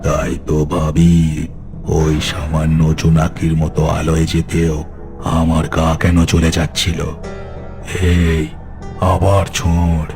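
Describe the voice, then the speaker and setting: A menacing leader of hungry phantoms, with an extremely deep voice and very slow delivery. He gloats over each drawn-out command in a horror audio drama, with music clearly behind him. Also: completely flat delivery, full character voice. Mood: menacing, realizing.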